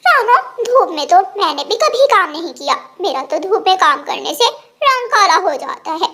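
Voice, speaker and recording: A woman's voice: extremely high pitch, big pitch swings, moderate pace, bright, forceful tone, exaggerated voice. A woman voicing a lazy, vain and sly female crow in a cartoon. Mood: whiny.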